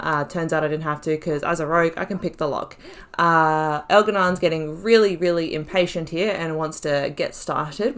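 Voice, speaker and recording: A woman giving casual gameplay commentary as the host, chatty and giggly, thinking aloud as she goes. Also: fairly low pitch. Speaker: gaming YouTuber, host of a playthrough.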